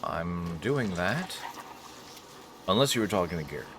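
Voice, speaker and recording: A man's voice: deep voice, lively intonation, unhurried, noisy background. A man hosting a game playthrough with casual, joking commentary and playful mock-heroic asides. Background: video game sounds.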